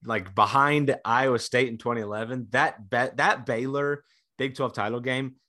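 Young male podcast co-host, bright edgy tone, excitable casual chatter, slightly congested. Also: medium pitch, moderate pace. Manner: excitable, chatty, lots of fillers. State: congested from illness. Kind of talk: casual sports podcast chat.

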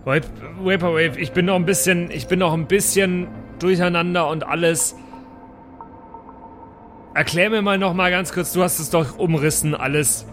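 Higher-voiced man role-playing over music. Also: steady tone, normal speed, a bit bright. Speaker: tabletop role-play player. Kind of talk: improvised in-character role-play mixed with casual table talk. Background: background music.

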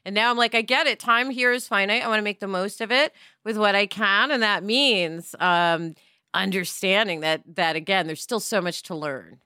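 A middle-aged woman co-hosting a podcast, chatting in a lively way with lots of asides. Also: mid-range voice, moderate pace, clear, resonant tone, no echo, studio quality. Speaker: podcast co-host. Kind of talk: casual podcast discussion.

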